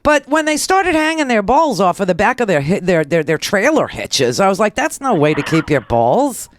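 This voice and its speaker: A woman's voice: medium pitch, sing-song, normal speed, slight background noise. A woman hosting a radio talk show, speaking casually with blunt, sardonic and salty humour.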